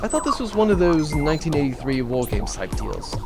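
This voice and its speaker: Comic space captain: male actor, bumbling, stubborn, sarcastic, fairly high voice over clear background music. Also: big pitch swings, fairly quick. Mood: flippant. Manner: flippant, comic, exclamatory. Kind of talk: sci-fi comedy audio drama acting.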